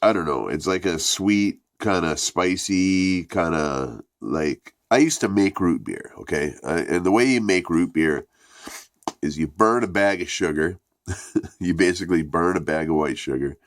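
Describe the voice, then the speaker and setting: Very deep gravelly congested man. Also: a little slow, no echo, clean studio sound. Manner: profane, rambling, laid-back. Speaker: product reviewer. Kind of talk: casual rambling review.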